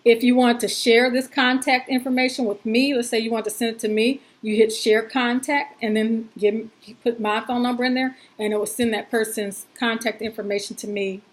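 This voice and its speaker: A woman's voice: a little high, level tone, brisk, few pauses. A woman presenting an informal step-by-step tutorial in a chatty, folksy and reassuring way, using plain everyday words.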